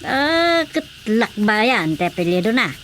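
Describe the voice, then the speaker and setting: A woman's voice: fairly high pitch, big pitch swings, unhurried, fairly bright tone, a little hiss or hum. An actress with an Ilocano accent playing a curious, skeptical elderly village woman in a radio drama comedy.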